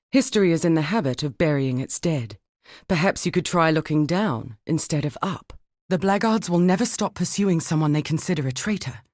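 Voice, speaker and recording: Fantasy game NPC: earnest female turncoat soldier, embittered, formal storyteller's delivery, slightly low pitch, very dry studio sound. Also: dry recording. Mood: sombre, pensive. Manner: articulate, grave, formal storytelling. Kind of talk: dramatic fantasy game dialogue.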